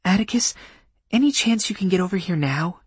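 A man's voice: high-pitched, expressive, a little fast, breathy edge, no room sound, voice pushed up. A man voicing an anxious woman on a phone call in an audiobook, speaking urgently. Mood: urgent, worried.

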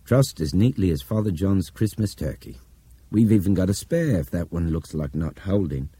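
A man voicing an urbane, forceful Englishman in an audiobook story. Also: low voice, expressive, moderate pace, husky, dry recording. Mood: brisk, cool. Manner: commanding, clipped urgency with polite turns of phrase.

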